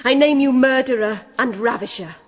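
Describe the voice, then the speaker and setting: An actress playing a headstrong, grieving young noblewoman in a radio drama, declaiming deliberately and fiercely. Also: sits high. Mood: bitter accusation. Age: young adult.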